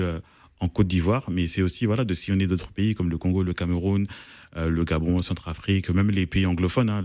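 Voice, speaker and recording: A man's voice: low voice, darkish tone, no echo. A man born in France, an event organizer giving a radio interview, speaking hesitantly with many fillers and restarts. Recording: dry radio studio.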